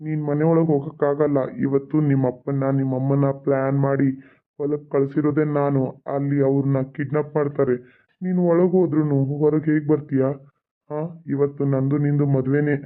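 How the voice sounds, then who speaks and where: A man's voice: fairly high pitch, level tone, brisk, close to an everyday voice. A man voicing a scheming adult male village villain who mocks and threatens. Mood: threatening. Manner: sneering, mocking, menacing. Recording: tinny phone-like sound.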